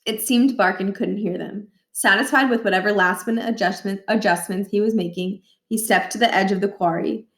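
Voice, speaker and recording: A woman reading a children's novel aloud as expressive, scripted narration. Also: medium pitch, brisk, few pauses. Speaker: storybook reader. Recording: roomy echo.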